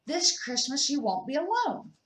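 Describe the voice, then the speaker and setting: A woman's voice: high-pitched, big pitch swings, moderate pace, pitched above the performer's own voice. Older lady doing a kind friendly monster guy from a storybook, reassuring and eager, echoey room. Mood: warm, inviting.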